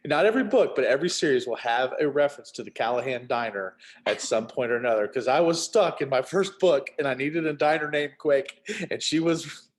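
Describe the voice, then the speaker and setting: A middle-aged man talks casually in a mid-pitched voice, like an author chatting with colleagues. He tells his stories in an animated way and slips into short acted-out asides. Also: fairly quick. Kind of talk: casual chat.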